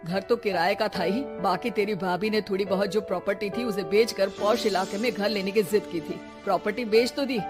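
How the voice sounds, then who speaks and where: A woman's voice: medium pitch, steady tone, normal speed, few pauses, close to an everyday voice. A woman voicing a middle-aged mother who has lost everything, lamenting and regretful, in a cartoon story. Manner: pleading, lamenting. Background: background music.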